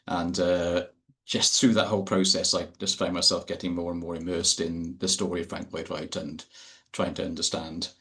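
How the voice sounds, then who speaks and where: Guy, really low voice, reading his talk over a zoom call, steady with a few ums. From Wales. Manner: measured, hesitant with ums before settling into reading. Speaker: architect giving a talk. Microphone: video call.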